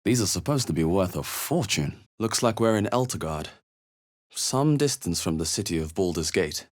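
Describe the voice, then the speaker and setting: A man playing a wary, thoughtful, dry-humoured adventurer in a fantasy video game, speaking in short measured remarks with a husky voice. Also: low voice, animated. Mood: musing.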